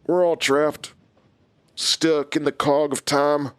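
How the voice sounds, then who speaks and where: An actor playing a calm, menacing inhuman villain in an audio drama, with a deliberate, taunting manner. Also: male voice, a little high, clean tone, no room sound. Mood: bleak. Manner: slow, deliberate, controlled, taunting.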